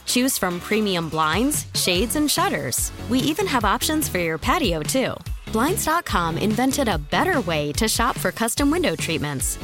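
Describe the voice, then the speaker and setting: A woman reading scripted commercial narration as an advert voice-over. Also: mid-range voice.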